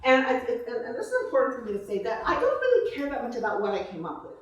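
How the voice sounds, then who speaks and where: A woman's voice: on the high side, huge pitch swings, rapid-fire, lo-fi sound. Echoey, distant woman author at a live reading. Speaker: author introducing and reading own book. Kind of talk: informal live talk, then slow literary reading. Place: room at a live book reception. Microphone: distant microphone. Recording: echoey room, far mic, faint noise.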